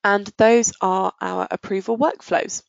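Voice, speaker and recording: A woman presenting a software demo in a conversational, explanatory way, pausing and stretching words as she goes, on dull, muffled audio. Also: mid-range voice, steady tone, gravelly, dry recording.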